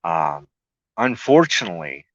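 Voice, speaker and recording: A man hosting a spiritual talk show, explaining loosely and haltingly, often pausing mid-sentence to search for words. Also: deep voice, big pitch swings, no room sound, muffled recording.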